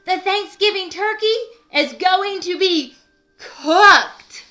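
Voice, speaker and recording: A woman voicing an excitable, worried turkey in a children's storybook, exclaiming urgently. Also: very high-pitched, takes it slowly, faint music behind, pitched above the performer's own voice, full character voice. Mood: pleading. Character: excitable, worried turkey who hatches plans. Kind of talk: children's storybook read-aloud.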